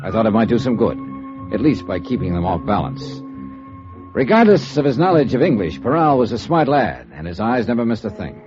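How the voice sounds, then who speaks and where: An actor playing a wry, hard-boiled investigator, clipped and probing, in 1950s radio drama style. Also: male voice, medium pitch, very animated, brisk, lightly acted. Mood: wry, scene-setting. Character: wry, hard-boiled male investigator narrating his case. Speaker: lead detective. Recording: muffled old radio recording, music behind. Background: music.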